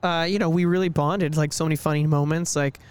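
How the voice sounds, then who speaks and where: A man chatting on a casual podcast with friends, joking and halting with restarts. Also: a little high, fairly even intonation, clear, resonant tone. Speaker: podcast co-host.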